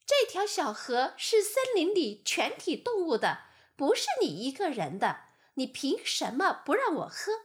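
A woman voicing a small young lamb who protests in a high, pleading voice. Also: very animated, measured pace. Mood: indignant. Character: small young lamb, timid but indignant.

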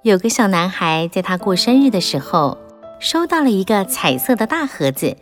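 A woman narrating a children's audiobook in a gentle, expressive storytelling manner. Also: mid-range voice, moderate pace, faint music behind.

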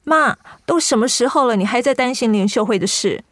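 Higher-voiced concerned daughter. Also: female voice, lively intonation, brisk, no room sound, clean studio sound. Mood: gently chiding. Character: concerned, attentive grown daughter of sick mother. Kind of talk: radio drama acting.

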